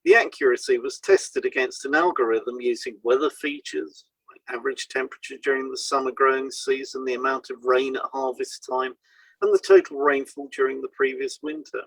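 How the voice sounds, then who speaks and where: A man with a mid-pitched, husky voice presents scripted educational material as a podcast co-host. He explains in a measured way, and the sound is dry with very little room. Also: a bit bright, no echo, low-quality recording.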